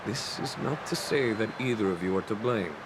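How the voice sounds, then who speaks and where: An actor playing a calm, cunning male general in a game cutscene, speaking in a smooth, knowing, unhurried way. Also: on the low side, background noise, lightly acted. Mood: composed. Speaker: game character. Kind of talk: video game cutscene dialogue. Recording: game audio with loud music.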